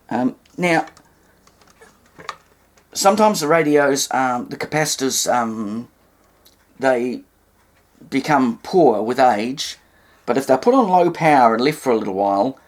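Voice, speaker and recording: A speaker with a New Zealand accent explains a hands-on demonstration, hesitantly thinking aloud and carefully spelling things out. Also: medium pitch. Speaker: presenter of a vintage radio repair demonstration. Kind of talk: unscripted hands-on demonstration talk. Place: indoors, at a table in a room.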